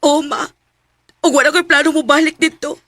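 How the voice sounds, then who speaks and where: High-voiced long-suffering young Cebuano wife. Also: female voice, steady tone, normal speed, a bit bright. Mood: resolute. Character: long-suffering battered young wife, quietly determined to escape. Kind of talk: Filipino radio drama acting. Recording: radio drama with faint music behind.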